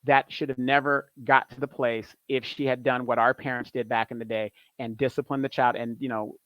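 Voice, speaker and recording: Animated man on a dull, dry line. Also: medium pitch, a little fast, no echo. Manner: animated, repetitive, emphatic. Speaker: guest. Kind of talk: casual opinion talk.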